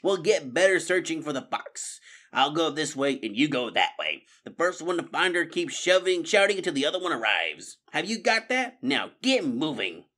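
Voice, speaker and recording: Storybook rat officer, male reader acting: foul-tempered, barking orders, raised pitch, wildly animated. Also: normal speed, exaggerated voice. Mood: furious, bossy. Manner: snarling, yelling, bossy. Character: bad-tempered bossy rat soldier, snarling bully.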